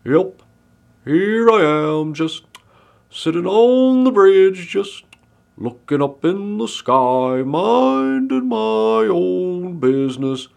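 A man's voice: sits high, big pitch swings, slow pace, creaky, darkish tone, pitched above the performer's own voice, heavily acted. A man voicing a greedy storybook pig who draws out words with feigned innocence. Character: greedy pig, lazily singsong, bursting into gleeful shouts.

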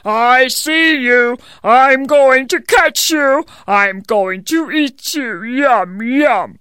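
A huge, greedy giant booms out his threats in a slow, gloating drawl, as a woman storyteller puts him on. The voice sits a little high and drags along very slowly. The recording is close and dry. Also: smooth, no room sound, heavily acted. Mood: greedy, threatening. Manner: loud, slow, gloating growl. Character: hungry evil male giant, booming and greedy.

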